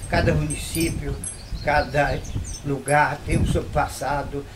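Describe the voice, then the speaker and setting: An older man recalls local history, his voice a little high for a man. He talks slowly, in short phrases, and keeps checking in with tag questions. Noisy outdoor ambience sits clearly behind him. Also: fairly even intonation. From Bahia, Brazil. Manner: unhurried, with frequent tag questions. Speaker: local storyteller interviewee. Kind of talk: reminiscing interview. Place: historic old mansion.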